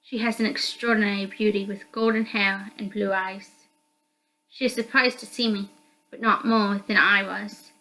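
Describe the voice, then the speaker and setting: Bright-voiced woman reading a story. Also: medium pitch, steady tone, moderate pace, poor audio. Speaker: narrator, visual novel reader. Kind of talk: first-person story narration read aloud.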